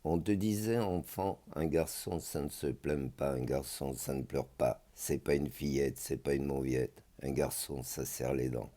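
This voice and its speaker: A man with a very low, dark voice performs spoken-word poetry. His delivery is solemn and measured, each phrase placed deliberately, with a mellow tone throughout.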